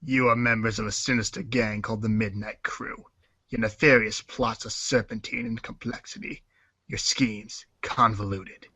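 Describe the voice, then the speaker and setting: A man performs a sinister gang boss with a very bright, forceful edge, recorded dry and close. He narrates his schemes like a dramatic storybook, deliberate and theatrical, with rage under the surface. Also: fairly low pitch, fairly even intonation, slightly rough, no room sound. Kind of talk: dramatic storybook read-along.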